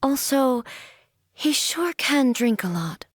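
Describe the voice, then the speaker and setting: A woman's voice: high voice, very animated, slightly rough, dry recording, clean studio sound, full character voice. A woman playing a stern, regal deity ruler in a video game, speaking in a formal, composed, stately way. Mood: musing, reserved. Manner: formal, stately, measured delivery. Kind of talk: scripted video game character voice lines.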